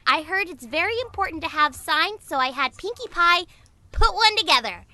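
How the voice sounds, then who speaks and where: A woman's voice: very high voice, lively intonation, moderate pace, sharp tone, dry recording, heavily acted. A woman voicing an earnest, bookish young pony in a cartoon comedy dub, prim, eager and orderly. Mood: eager, businesslike.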